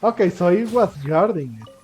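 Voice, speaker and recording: A man's voice: high-pitched, very animated, normal speed, darkish tone. A man giving casual playthrough commentary on a video game, musing hesitantly as he thinks aloud. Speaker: gaming video creator, player. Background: game music.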